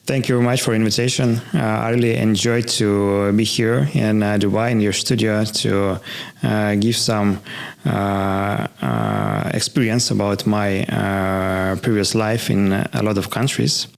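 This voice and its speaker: A man from Russia with a Russian accent, a guest answering interview questions in English with many hesitant "uh" pauses. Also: fairly low pitch, gravelly. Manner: halting, many drawn-out "uh" fillers. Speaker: guest, finance professional. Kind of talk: interview answers in a second language. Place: studio.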